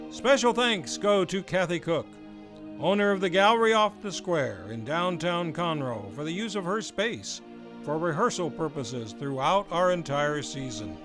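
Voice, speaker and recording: A man hosting an old-time radio show, reading scripted announcements in a formal, measured, theatrical announcer style. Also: high voice. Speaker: radio series host and announcer. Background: live improvised music.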